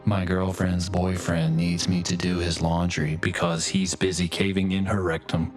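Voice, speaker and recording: An actor playing a pathetic, self-loathing office drone in a video game, speaking in a stilted, formal, morose deadpan. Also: male voice, sits low, moderate pace, soft background music, lightly acted. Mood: despairing, deadpan. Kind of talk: video game voice acting, melodramatic monologue.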